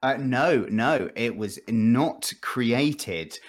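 A man's voice: medium pitch, animated, extremely slow. A man hosting a casual gaming podcast, rambling with dry jokes and swearing freely, close on a desk microphone. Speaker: podcast host. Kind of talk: casual chat about games and films. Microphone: close desk USB microphone.

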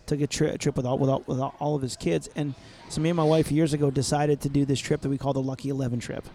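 Mellow-voiced reflective podcast co-host. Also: male voice, medium pitch, flat delivery, fast talker, a little hiss or hum. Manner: easygoing, reflective storyteller. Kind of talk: casual chat.

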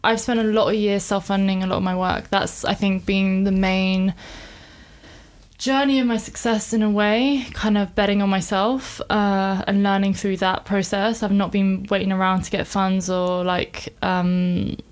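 A woman's voice: mid-range voice, flat delivery, normal speed. A woman, a film director talking reflectively and informally in a casual interview, explaining her work at length. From born in Iran, grew up in England. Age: 30s.

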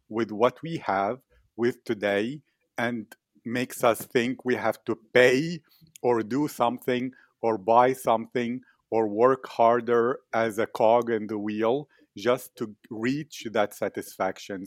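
A man's voice: medium pitch, no echo. A man hosting an interview, asking long, rambling, inquisitive questions. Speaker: host, interviewer. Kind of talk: podcast interview.

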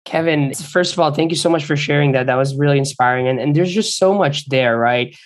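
A man's voice: fairly high pitch, fairly quick. Earnest young podcast host, man. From Bangladesh. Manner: earnest, appreciative, talkative. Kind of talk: conversational podcast interview.

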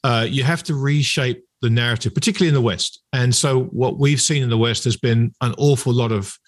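Man, podcast interviewer, medium pitch, conversational, reflective, long build-up to questions. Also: brisk.

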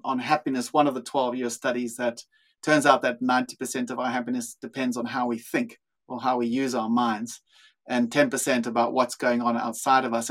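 A man's voice: mid-range voice, fairly bright tone. South African man, thoughtful restarts. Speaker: guest, leadership consultant. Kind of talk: podcast interview.

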